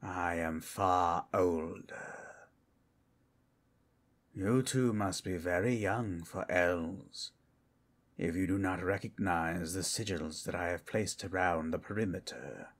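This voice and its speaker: A man playing an ancient, calm and menacing undead collector with a formal, deliberate delivery in an audio roleplay. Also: sits low. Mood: measured, imperious.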